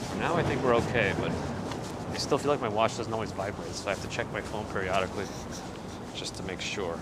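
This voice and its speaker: A man's voice: on the low side, quick pace. A man hosting a live walking stream on a city street, chatting casually and answering viewer comments as he walks, recorded on a phone on a handheld gimbal. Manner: relaxed, conversational, reads out and replies to chat messages. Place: outdoors on a city street at sunrise. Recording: loud street noise outdoors. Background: city traffic and street noise.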